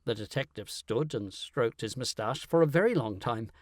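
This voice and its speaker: A man reading a scripted first-person story as an audiobook narrator, in an animated, dramatic way. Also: mid-range voice, moderate pace, no room sound, studio quality.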